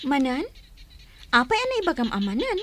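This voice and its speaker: A woman acting a chatty, teasing elder sister in a radio drama, conversational and expressive. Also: high-pitched, normal speed, a little hiss or hum, heavily acted. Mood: thoughtful, curious.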